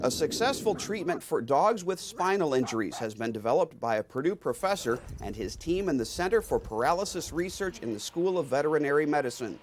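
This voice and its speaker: A man's voice: on the high side, animated, fairly quick, hardly any pauses, background noise. A man reading scripted news narration as the narrator of an institutional newsreel.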